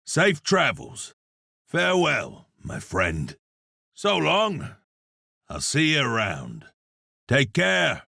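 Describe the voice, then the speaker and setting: An actor playing a seasoned, courteous frontier gunslinger in a video game, with a gravelly, raspy voice and a folksy drawling manner. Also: male voice, on the high side, pushed and bright, no room sound, studio quality, exaggerated voice. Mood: calm, courteous. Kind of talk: video game character voice lines.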